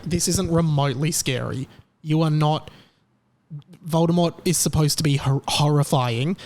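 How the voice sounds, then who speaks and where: Young Australian male podcast host, opinionated and emphatic, voice sitting a touch high. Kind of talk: casual opinion monologue.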